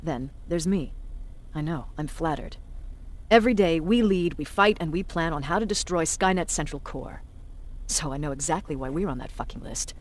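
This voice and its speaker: A woman's voice: low-pitched, normal speed. Tough, curt female commander. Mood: stern, dry. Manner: authoritative, curt, dryly sardonic. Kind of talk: dramatic video game acting.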